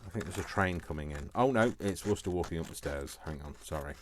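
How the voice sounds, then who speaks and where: A man with a very low-pitched voice hosts a casual craft tutorial. He thinks aloud, playfully muttering and repeating short phrases to himself. The recording has clear background noise with clicks. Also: expressive, quick pace.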